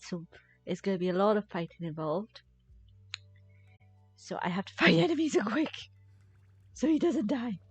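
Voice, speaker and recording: A woman's voice: mid-range voice, darkish tone, no room sound. A woman game streamer giving casual live commentary as she plays. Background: game music.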